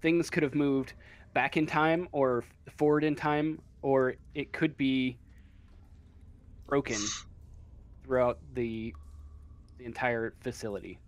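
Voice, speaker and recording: A man's voice: medium pitch, steady tone, slow, long pauses. A man improvising narration as game master of a tabletop game, hesitant and often searching for words.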